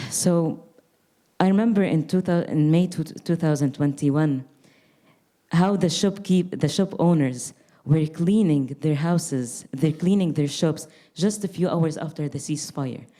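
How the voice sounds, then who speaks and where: Young woman from gaza talking on a panel, low mellow voice, thoughtful, restarts a lot. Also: unhurried. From Gaza Strip. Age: young adult. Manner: reflective, earnest, with frequent restarts. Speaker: artist. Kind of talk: panel conversation.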